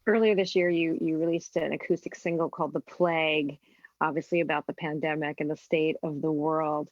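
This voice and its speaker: Brief: woman host, mid pitch, dry muffled remote line, easygoing questions. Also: steady tone, a little fast, no echo. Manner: warm back-channel "mm-hmm", rambling questions. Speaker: radio host. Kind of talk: interview questions.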